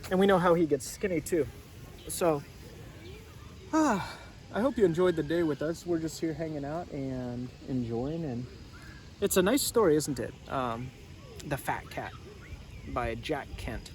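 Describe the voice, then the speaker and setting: A man's voice: fairly high pitch, lively intonation, measured pace, pauses often, darkish tone, slight background noise. A man reading a storybook aloud as a teacher, casually chatting and prompting listeners with questions.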